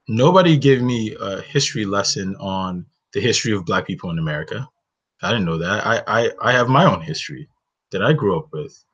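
A man from Northern Nigeria with a low voice talks through a webcam in a small room. His sound is dull and lo-fi. He speaks earnestly and personally, often pausing on "you know.". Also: fairly bright tone, husky. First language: Hausa. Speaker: webinar host. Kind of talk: reflective solo webinar talk. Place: bedroom indoors, small. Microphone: webcam, close framing.

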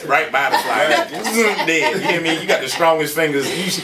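Animated, laughing male radio host. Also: fairly high pitch, normal speed, distant mic, a loud crowd. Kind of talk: casual radio chat. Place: radio studio. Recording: roomy studio audio from off-mic, with noise.

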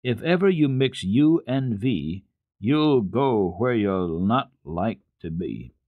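A man voicing an earnest, kindly little girl who coaxes and recites in a measured sing-song for a storybook. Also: fairly low pitch, big pitch swings, dry recording, narrow, tinny audio, heavily acted. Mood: reciting.

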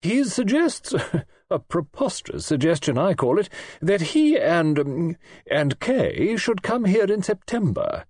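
A man's voice: sits high, big pitch swings, measured pace, a little mellow, dry recording, clean studio sound, voice pushed up, heavily acted. A man reading an audiobook, voicing a robust, imperious elderly aristocratic woman who talks in a forthright, snappish way. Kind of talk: audiobook character voice.

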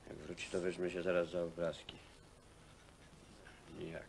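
A man's voice: deep voice, normal speed, gravelly. An actor playing a weary, reluctant workman in a film, grumbling to his mates. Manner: flat, grumbling. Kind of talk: naturalistic film acting. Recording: noisy 1970 film soundtrack.

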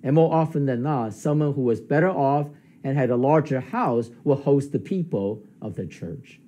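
Mellow, husky-voiced older male pastor. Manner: measured, explanatory preaching with lively pitch rises. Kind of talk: sermon. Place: studio with plain light backdrop, indoors.

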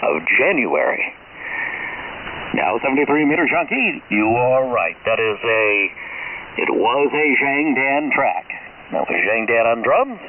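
Animated man on hissy shortwave radio. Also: medium pitch, narrow, tinny audio. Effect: shortwave radio transmission. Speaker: pirate radio DJ. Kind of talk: on-air shout-outs and track announcements. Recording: hissy, distant, band-limited shortwave radio recording. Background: radio static and hiss.

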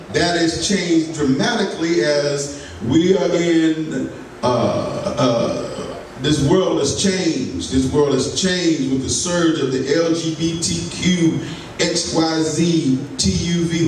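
Slow-preaching man minister. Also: a little high. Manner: slow, folksy preaching with laughter and asides to the congregation. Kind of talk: sermon. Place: church sanctuary. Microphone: pulpit mic, filmed by a far camera. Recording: echoey church from far off, noisy.

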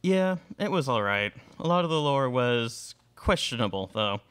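A man's voice: mid-range voice, big pitch swings, moderate pace, fairly bright tone, clear, resonant tone, no echo. A young man playing a suave male vampire in a student radio play, talking casually and coaxingly. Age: young adult. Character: suave male vampire luring a new admirer. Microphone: microphone on boom stand.

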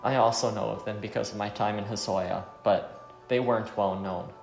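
A man's voice: on the low side, fairly even intonation, moderate pace, creaky. A man voicing a resolute, regretful, war-weary wanderer in a visual novel. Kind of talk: visual novel dialogue read aloud. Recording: roomy sound, faint music.

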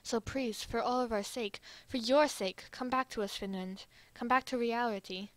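Girl doing a polite serious anime guy, kinda high voice, measured and formal, no room sound. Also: no echo, natural voice. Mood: earnest pleading. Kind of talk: amateur fan dub roleplay.

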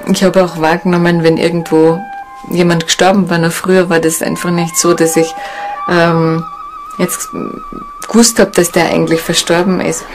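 A woman's voice: on the low side, fairly even intonation, normal speed, music in the background. A woman giving a hesitant, reflective spontaneous account in an interview. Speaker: interviewee, nature guide. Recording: clearly noisy ambient background.

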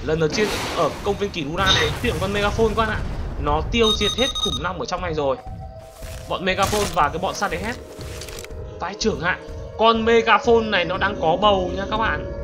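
A man with a distinctly high-pitched voice commentates on a video game. He talks casually and excitably, exclaiming and repeating words in slangy phrases. Music plays clearly behind him. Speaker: gaming YouTuber, host.

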